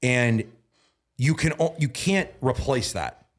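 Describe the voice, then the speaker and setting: A man in his thirties talking as a guest on a casual podcast. He sounds earnest, repeats himself and restarts a lot, and grows emphatic and louder when he starts preaching. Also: medium pitch, expressive, slow pace. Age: 30s. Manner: repeats words and restarts often. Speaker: guest, bodybuilding and mindset coach.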